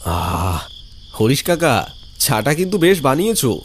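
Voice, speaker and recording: Cartoon newlywed villager, voiced by a man, defensive, mid-pitched, very animated. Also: measured pace, slight background noise. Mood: defensive, friendly. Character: defensive young married village man chatting with friends.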